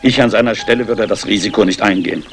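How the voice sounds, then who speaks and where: An actor playing a courteous, guarded English aristocrat investor in a 1960s German crime radio play. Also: male voice, on the low side, fast, vocal fry, background noise. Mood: polite. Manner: courteous, urbane.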